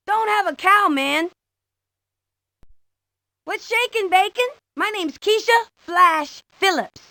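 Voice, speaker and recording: Cheeky, piercingly high little girl. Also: bright, forceful tone, no echo, full character voice. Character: cheeky, wisecracking confident young girl. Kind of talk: video game character introductions.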